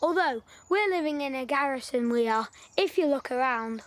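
A woman playing a lively, knowing wartime schoolboy in an audio drama. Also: high voice, moderate pace. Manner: chatty, excitable storytelling.

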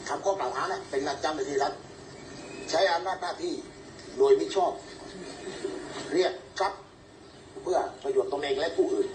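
A man speaking to the press as the accused party. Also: on the high side. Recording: echoey, distant mic, clear background noise.

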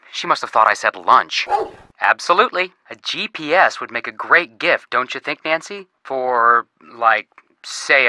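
Cocky kid-brother detective, bright lively voice, jokes at everyone's expense. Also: male voice, mid-range voice, dry recording. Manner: wisecracking, teasing, eager. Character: joking teenage boy detective, younger brother.

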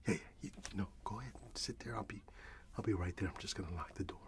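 A middle-aged man playing a friendly, grateful candy shop owner in an ASMR roleplay, speaking in a breathy whisper with a gentle, halting manner. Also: on the low side, a little hiss or hum, pitched below the performer's own voice. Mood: content, friendly.

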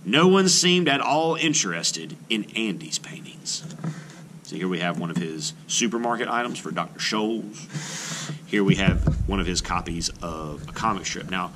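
A man reading a children's book aloud as an art teacher, adding expressive explanatory asides. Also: low-pitched, unhurried, background noise. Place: indoors, book filmed on a table.

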